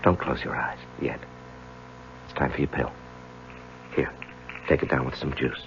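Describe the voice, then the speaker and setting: An actor playing a troubled, anxious married lawyer in a radio mystery drama, speaking in a halting, earnest, dramatic way. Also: male voice, extremely low pitch, fairly even intonation. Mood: subdued. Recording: muffled, hissy, humming old radio tape.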